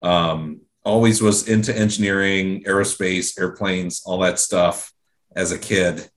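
Rambling low-voiced American man. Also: fairly even intonation, unhurried. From Central Ohio, US. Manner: rambling, many fillers and restarts. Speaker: guest, engineering director. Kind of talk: casual interview talk.

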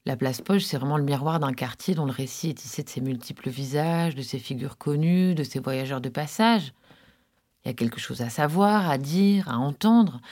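A woman's voice: sits low, moderate pace, darkish tone. A woman narrating a podcast in a reflective, literary manner with conversational asides.

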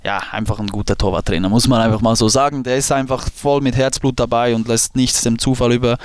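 Swiss german guy late 20s, flat even tone, lots of ums, dry studio sound. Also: medium pitch, fast talker, no room sound. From Switzerland. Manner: hesitant, many fillers and restarts. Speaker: football goalkeeper guest. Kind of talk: casual podcast interview.

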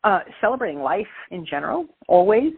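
A woman's voice: lowish voice, very animated, brisk, husky. A middle-aged woman from Brooklyn with a Brooklyn accent, a guest calling in to an interview and speaking energetically and emphatically. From Brooklyn, New York. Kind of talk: phone-in interview, casual. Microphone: phone line. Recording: tinny phone line.

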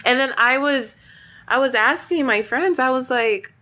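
A young woman with a high voice chatting as a guest, giggly and rambling with many restarts. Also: measured pace, sharp tone. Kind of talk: casual chat.

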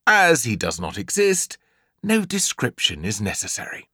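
A man's voice: medium pitch, huge pitch swings, moderate pace, sharp tone, slightly rough, no echo, studio quality. A man with an English accent narrating a comic children's story in a theatrical, emphatic way, drawing out key words. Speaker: audiobook narrator.

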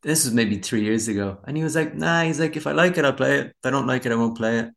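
A man's voice: medium pitch, quick pace, creaky, fairly bright tone. An Irish man, a musician being interviewed, chatting casually in a candid, rambling, self-deprecating way. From Dublin, Ireland. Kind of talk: casual chat.

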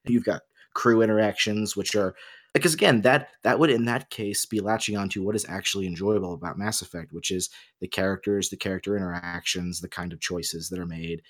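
A man's voice: low voice, steady tone, a little fast. A man co-hosting a casual discussion, explaining his opinions emphatically. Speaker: podcast co-host.

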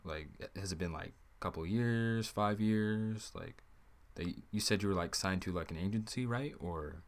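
A man's voice: low voice, moderate pace. A man interviewing a guest, asking casual questions with many "uh"s and repeated short praise. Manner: hesitant, filler-heavy, repeats "pretty cool".

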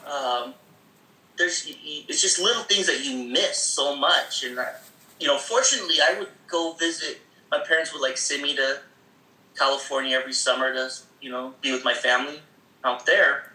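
Man, casual storyteller, fairly high voice, very bright tone, echoey room, lots of fillers. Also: moderate pace. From Seattle area. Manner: rambling, many fillers, laughs often, acts out quoted voices. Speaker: guest.

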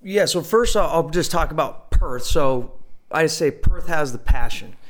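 A man's voice: fairly high pitch, expressive, unhurried. A man guest speaking bluntly and informally, with enthusiasm. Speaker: guest, firm CEO. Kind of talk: casual interview answers.

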